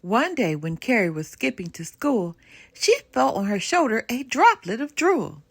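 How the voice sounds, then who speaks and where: An older woman reading a children's storybook aloud in an expressive, sing-song way. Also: fairly high pitch, vocal fry, breathy edge, no room sound. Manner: animated, unhurried sing-song rhyming delivery. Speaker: storybook reader.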